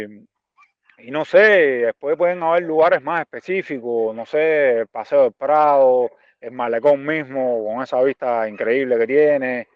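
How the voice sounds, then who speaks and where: Male interview guest, mid-forties, Cuban accent, resonant and clean-voiced, thinks aloud in halting phrases; dry, close sound. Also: mid-range voice, dry recording, narrow, tinny audio. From Cuba. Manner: halting, searching for words with repeated phrases. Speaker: interview guest, visual artist and art teacher. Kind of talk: reflective interview answers.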